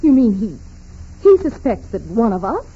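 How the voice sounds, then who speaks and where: An actress playing a brash, defiant young socialite in a 1940s radio drama, curt and challenging. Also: female voice, a little high, very animated, moderate pace, husky, full character voice. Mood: irritated. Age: 20s. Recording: muffled, noisy 1940s radio recording.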